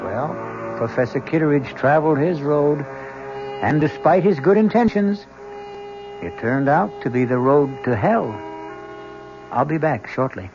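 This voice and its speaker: A man narrating as host of a radio mystery series in a measured, theatrical scripted style. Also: fairly high pitch, lively intonation. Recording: muffled old broadcast with music.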